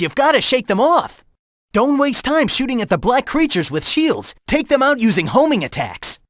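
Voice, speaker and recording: Brash game hedgehog hero, teasing and coaching his rival, animated delivery, dry close booth sound. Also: high voice, dry recording. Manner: cocky, teasing banter; urgent warnings and coaching. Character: cocky young male hedgehog hero, fast and teasing. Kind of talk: video game voice acting.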